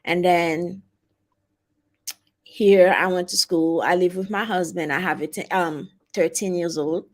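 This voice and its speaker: A woman in her forties from Haiti with a heavy Haitian accent, talking as an interview guest in a halting, casual way with many fillers. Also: on the low side, fairly even intonation. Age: 40s. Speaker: guest, travel advisor.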